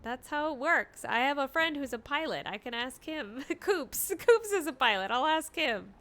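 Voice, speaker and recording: A woman streaming a game with casual live commentary, playful, sarcastic and animated in the way she talks. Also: sits high, moderate pace. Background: game music.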